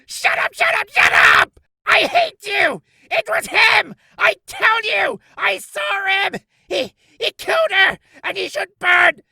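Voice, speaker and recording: A man acts out a volatile male witness from a courtroom game. He is panicky and stammering, his pitch leaping about. The recording is dry and close. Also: wildly swooping pitch, slow, gravelly, hardly any pauses, bright, forceful tone, no room sound, shouting, heavily acted. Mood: screaming rage. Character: cornered, stammering male witness turning to rage. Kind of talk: character voice reel, game acting.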